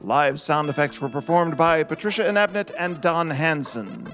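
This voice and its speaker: A man's voice: a little high, lively intonation, moderate pace, a bit bright, soft background music. A man announcing scripted radio show credits.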